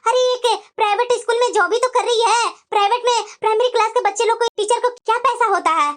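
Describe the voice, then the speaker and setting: A woman's voice: very high voice, steady tone, fast talker. A woman voicing an older mother-in-law in a family cartoon drama, reasoning and admonishing as a firm peacemaker. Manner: admonishing, coaxing. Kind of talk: Hindi family drama storytelling.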